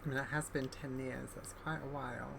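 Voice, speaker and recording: A man hosts a gaming playthrough with a bright, mid-pitched voice. His commentary is casual and offhand, with faint noise behind it. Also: level tone, fairly quick, gravelly. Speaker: gaming video host. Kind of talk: casual play-along commentary.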